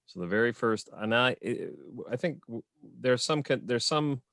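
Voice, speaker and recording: A man's voice: mid-range voice, animated, moderate pace, fairly bright tone, no echo, studio quality. A man on a discussion panel, chatting casually and laughing easily.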